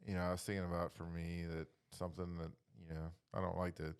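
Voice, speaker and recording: A man leading a casual faith discussion, reflective and halting, often dropping in "you know". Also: low voice, monotone, clear, resonant tone.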